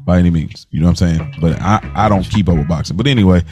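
Deep-voiced American man in casual podcast talk. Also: fast, a little mellow. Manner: informal, slangy, addresses partner as bro and man. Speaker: podcast co-host. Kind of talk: casual chat.

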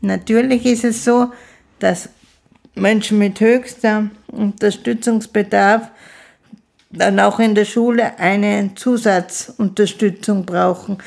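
A woman in her fifties, a guest in an interview, with a gravelly, creaky voice at a middle pitch. She speaks slowly and haltingly and often restarts her sentences. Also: steady tone, a little mellow. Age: 50s. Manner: slow, halting speech with restarts. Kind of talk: casual conversation.

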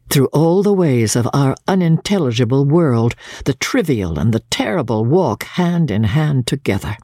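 A man reading a classic novel aloud as a narrator, in a measured, formal, dramatic storytelling manner. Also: medium pitch, dark tone, breathy edge, no room sound, clean studio sound. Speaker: audiobook narrator.

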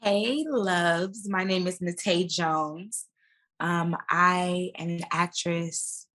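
A woman's voice: fairly low pitch, steady tone, extremely slow, a bit bright. A woman speaking as a podcast guest in casual chat, with an informal, slangy way of talking. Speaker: podcast guest, actress and business owner.

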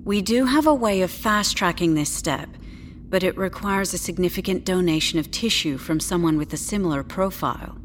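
A futuristic clinic doctor, played by an actress in an audio drama, with a fairly low female voice. She is measured and professional, hesitating politely, with tactful condescension. Faint ambient noise runs behind her. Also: lightly acted. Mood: careful, tactful.

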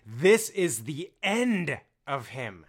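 An actor playing a cruel, gloating enemy chieftain in a dramatic reading, menacing and theatrical. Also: male voice, fairly high pitch, sing-song, very slow, slightly rough, heavily acted. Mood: gloating menace. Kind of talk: dramatic reading of an ancient account.

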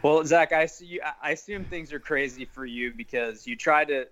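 A man's voice: a little high, moderate pace, a bit bright. A man co-hosting a podcast in casual chat. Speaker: podcast co-host.

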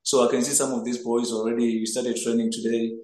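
An earnest young goalkeeper originally from Zimbabwe, answering questions in a flat, even voice that barely rises or falls. He stumbles and repeats words as he gathers his thoughts. The sound carries a noticeable room echo. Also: mid-range voice, quick pace. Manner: earnest, repeats words while searching for them. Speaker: footballer, goalkeeper. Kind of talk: interview answers over a remote link. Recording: echoey room.

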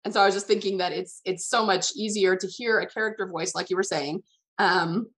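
Woman hosting a writing podcast, mid pitch. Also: fairly even intonation, brisk, a bit bright. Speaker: podcast host, interviewer. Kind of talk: casual interview chat about writing.

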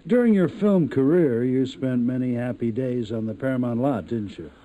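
A man's voice: medium pitch, normal speed, warm tone, muffled recording. A man hosting a radio show, interviewing a guest in a polite, presenterly way.

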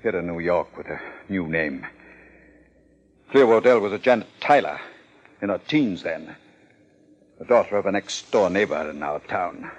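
A man's voice: deep voice, lively intonation, normal speed, long pauses, highs cut off. An actor playing a pompous contest sponsor with murder in his past, in formal 1950s radio drama diction. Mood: resigned, confessing.

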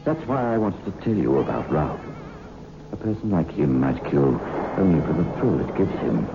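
Low-voiced accused man, earnest and insistent. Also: sing-song, normal speed, vocal fry, noisy background, exaggerated voice. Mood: earnest, anxious. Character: accused man, tense, earnest, out to expose a killer. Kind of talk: 1960s radio drama acting. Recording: muffled old 1960s radio recording.

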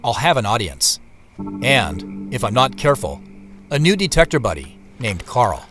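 A man's voice: medium pitch, very animated, moderate pace, music in the background, natural voice. An actor playing a wry, observant narrator telling his own story in scripted audio drama narration. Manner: wry, descriptive. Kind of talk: scripted first-person narration.

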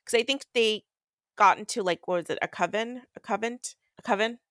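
A woman's voice: medium pitch, brisk, fairly bright tone, dry recording, clean studio sound. A woman co-hosting a podcast, casually recapping a book in a rambling, slangy way. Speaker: podcast co-host.

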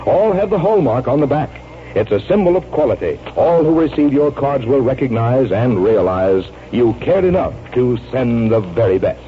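A man's voice: medium pitch, big pitch swings, normal speed. A man announcing a sponsor message in polished 1940s radio advertising style. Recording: tinny, noisy 1940s radio recording.

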